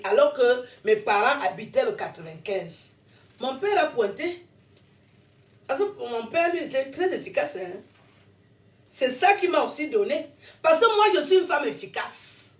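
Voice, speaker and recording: A woman in her fifties, born in France, giving a combative, rambling monologue to camera and laughing between her jabs. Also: high-pitched. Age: 50s. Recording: roomy echo, distant from mic.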